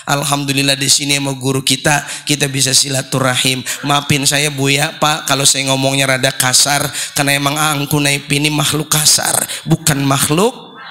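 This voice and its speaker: A man, hoarse after losing his voice, preaching a comic sermon and calling out questions to the congregation. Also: fairly high pitch. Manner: joking, lively, calls out questions to the crowd. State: hoarse, recovering from lost voice. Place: religious gathering.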